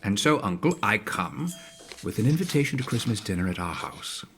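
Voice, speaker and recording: An actor playing a miser's cheerful, warm-hearted nephew in a radio play, hearty and earnest. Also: male voice, lowish voice, big pitch swings, fairly quick, slight background noise. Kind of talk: theatrical radio-play acting.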